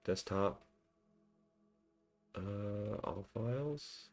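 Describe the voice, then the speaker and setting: A man with a deep, low voice talks casually through a screen-recorded tutorial, thinking out loud and full of ums and quick chuckles. Faint music plays softly behind him. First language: English. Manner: chatty and self-deprecating, lots of ums and small laughs. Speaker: cybersecurity tutorial host.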